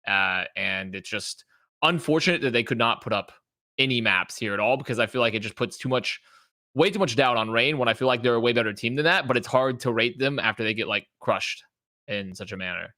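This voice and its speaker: A man's voice: medium pitch, fairly quick, sharp tone, no room sound, studio quality. A man giving casual match analysis as an esports podcast co-host.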